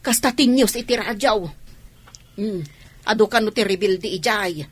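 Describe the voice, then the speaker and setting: A woman playing a gossipy village neighbour in a radio drama, with a husky voice. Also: medium pitch, normal speed, close to an everyday voice. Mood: concerned, gossipy. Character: gossipy village woman passing on news of rebels.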